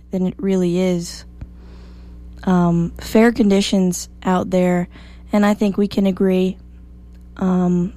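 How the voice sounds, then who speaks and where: A woman hosting a morning radio show, slightly hoarse, chatty and upbeat as she reads the weather. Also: medium pitch, dark tone. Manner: chatty, upbeat, self-joking. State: a little hoarse.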